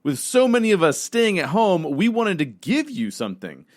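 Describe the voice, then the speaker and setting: A man's voice: sits high, very animated, moderate pace. A man speaking as a podcast co-host, reading a scripted promo in a conversational way to listeners.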